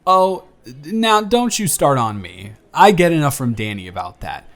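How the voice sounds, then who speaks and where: A man's voice: fairly high pitch, sing-song, a little slow, fairly bright tone. Man acting a charming, teasing young blind date. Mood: teasing, eager. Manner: bantering, playful, chatty. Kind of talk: romantic audio roleplay acting.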